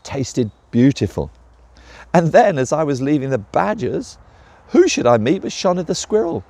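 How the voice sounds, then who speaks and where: A man's voice: fairly high pitch, sing-song, normal speed, darkish tone, dry recording. A man voicing an enthusiastic young female dragon who gushes about her day. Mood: gushing.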